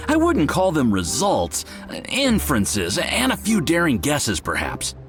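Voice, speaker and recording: Game role: bookish young lab assistant, hesitant yet eager, wildly varied intonation, music behind. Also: male voice, a little high, huge pitch swings, a little slow, exaggerated voice. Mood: eager, earnest. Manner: hesitant, earnest, academic. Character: eager, nervous young male research assistant, bookish, deferential. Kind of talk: video game character acting.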